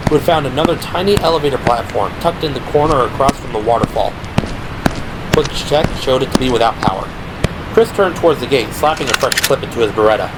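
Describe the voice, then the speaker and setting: Male narrator over noisy ambience. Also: mid-range voice. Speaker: audio drama narrator. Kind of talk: scripted dramatic narration. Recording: clear background noise and ambience. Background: ambient sound effects.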